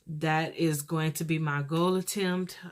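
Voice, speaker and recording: Soft-spoken young woman, low and gentle. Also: steady tone. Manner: rambling, pausing, gentle and earnest. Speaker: podcast host. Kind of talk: casual podcast monologue.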